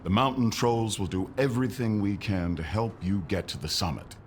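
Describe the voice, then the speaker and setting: A man's voice: lowish voice, moderate pace, a little hiss or hum, close to an everyday voice. An actor playing a mountain troll father and leader in a family audio drama, theatrical, formal and sincere. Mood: apologetic, grateful.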